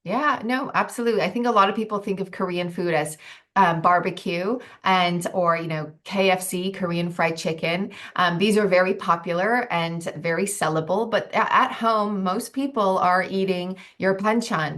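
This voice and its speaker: A woman's voice: lowish voice, a bit bright. A woman in her forties talking as a nutritionist guest in a casual interview, thoughtful with frequent ums and restarts. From United States.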